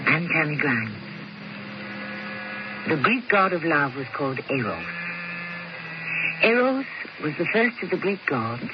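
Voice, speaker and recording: Theatrical woman hosting old radio drama. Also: on the low side. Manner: dramatic, lilting, hushed delivery. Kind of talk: theatrical scripted introduction. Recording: muffled old radio recording with hiss.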